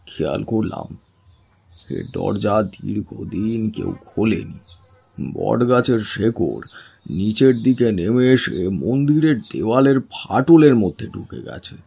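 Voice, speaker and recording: A man playing a wary, inquisitive investigator, narrating an eerie audio drama in a husky, gravelly voice with dramatic, deliberate pauses. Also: on the low side, darkish tone, heavily acted. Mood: eerie, watchful. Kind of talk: suspenseful first-person horror story narration.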